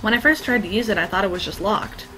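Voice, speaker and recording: A woman with a slightly hoarse voice, voicing an earnest, easily flustered teenage boy student. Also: medium pitch, quick pace, over music, lightly acted, poor audio. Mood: puzzled. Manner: reads game text aloud in a plain, bright tone.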